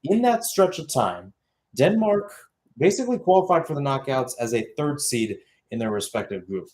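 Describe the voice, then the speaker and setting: A man's voice: mid-range voice, big pitch swings, moderate pace, a little mellow. A young man giving live radio-style soccer play-by-play in short clipped phrases, with asides and self-corrections. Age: young adult. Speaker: play-by-play sports commentator.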